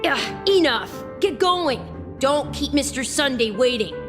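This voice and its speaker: An actress voicing a haughty, boastful, bossy guard in a video game, with a theatrical delivery. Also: female voice, high voice, lively intonation, unhurried. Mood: bossy, impatient. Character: haughty female guard boasting of fantasy power armor. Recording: long reverb, music behind.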